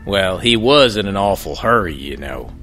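An actor playing a gruff, wary, pious old rustic coachman with a folksy drawl, in a horror audio drama. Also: deep voice, animated, moderate pace, fairly bright tone, soft background music. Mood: grudging.

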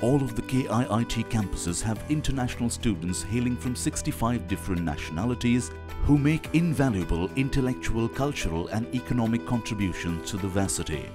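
A man's voice: mid-range voice, animated, a little fast. A man reading a scripted promotional narration. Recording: music bed behind voice. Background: music with plucked guitar.

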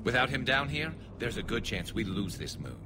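An actor playing a loyal alien soldier and former vigilante in a video game, with a dry, wry manner. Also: male voice, mid-range voice, very animated, normal speed, background noise, lightly acted. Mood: wry, uneasy. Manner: dry, wry, self-deprecating. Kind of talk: video game cutscene acting. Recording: battlefield ambience behind.

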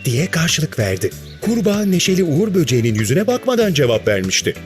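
Animated children's story narrator. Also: medium pitch, fairly quick. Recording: music behind the narration.

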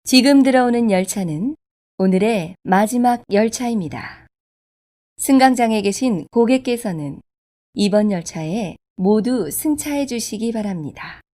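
Formal woman making subway announcements, higher-pitched. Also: expressive, warm tone. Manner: formal, polite, measured. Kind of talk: scripted public transit announcement.

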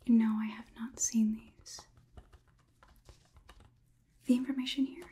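A young woman playing a polite, curious, clinical robot overlord in a whispered ASMR roleplay, with measured, formal delivery. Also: fairly high pitch, little pitch movement, warm tone. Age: young adult. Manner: slow, measured, deliberate, formal wording. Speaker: ASMR performer.

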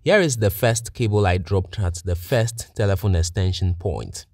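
Male tutorial narrator, lower register, plain-spoken technician delivering orderly instructions, recorded dry. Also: normal speed, a little mellow, no room sound. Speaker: technician presenting a how-to tutorial.

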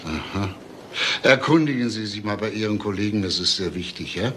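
A man's voice: on the low side, animated, a little fast, fairly bright tone, a little hiss or hum. An actor playing a male homicide detective in a German crime radio play, questioning in a clipped, businesslike way. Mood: businesslike, urgent. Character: brisk, probing male police homicide detective.

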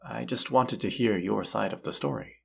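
Deep gravelly vampire hunter boyfriend played by a guy, slow n tender, audio roleplay. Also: fairly bright tone, full character voice. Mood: earnest, reassuring. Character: gentle, forgiving reborn male vampire hunter and lover. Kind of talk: intimate audio roleplay acting.

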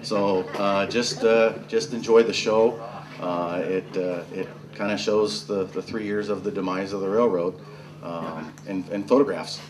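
A man casually and haltingly introducing a slideshow to an audience. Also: on the low side, fairly even intonation. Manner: halting, hesitant. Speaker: slideshow presenter. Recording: noisy room recording.

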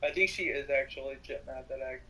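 A man talking casually and haltingly as a player in a multiplayer game. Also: mid-range voice, pushed and bright. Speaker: player in a Minecraft multiplayer roleplay. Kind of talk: casual gameplay chat. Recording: faintly noisy home game recording.

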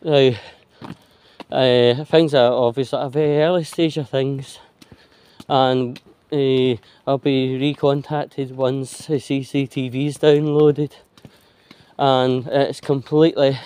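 A Scottish man rambling casually in a halting way, with long mid-sentence pauses, recording himself on a phone as he walks along a street. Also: medium pitch, flat delivery, a little mellow, clear, resonant tone. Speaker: vlogger. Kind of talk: rambling casual walking monologue. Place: outdoors, walking along a street pavement. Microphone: handheld phone while walking. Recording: outdoor phone recording with traffic noise.

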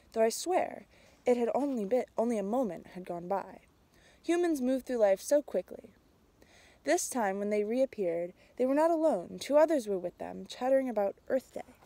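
A young adult woman reading a picture book aloud outdoors, with a measured, expressive storytelling delivery. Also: fairly high pitch, no room sound. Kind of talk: storybook read-aloud, narrated as a tree. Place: outdoors in snowy winter woods.

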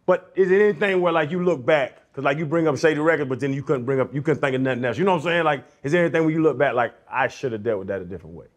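A man's voice: fairly high pitch, rapid-fire, few pauses. A man co-hosting a podcast, asking loose, rambling, slangy questions in an indoor studio. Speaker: podcast co-host. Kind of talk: casual interview questions. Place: indoor studio set with plants.

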